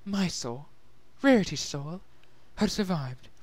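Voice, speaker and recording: A man voicing a refined young female pony who tells of a sorrowful past in a soft, measured, earnest way, in a dramatic story reading. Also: high voice, expressive, no room sound, pitched above the performer's own voice, exaggerated voice. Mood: somber, earnest. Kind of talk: dramatic fan-fiction reading.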